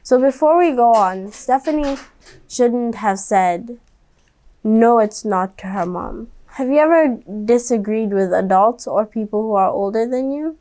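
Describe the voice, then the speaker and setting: A woman hosting a children's read-aloud, speaking directly to young listeners in a gently instructive way. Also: fairly high pitch, expressive, moderate pace, rough recording. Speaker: storybook reader and host.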